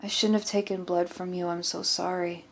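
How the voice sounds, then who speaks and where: A woman's voice: lowish voice, flat delivery, normal speed, gravelly. A woman voicing a conscientious, anxious female doctor in an audiobook story, earnest and guarded. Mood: guilty, subdued.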